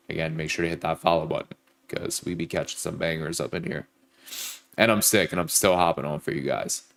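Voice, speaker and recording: A young man with a sore throat and a stuffed-up, nasal voice, chatting casually with viewers on a trading livestream. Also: sits low. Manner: greets viewers by name, hypes likes. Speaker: livestream trader. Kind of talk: casual live-stream chat.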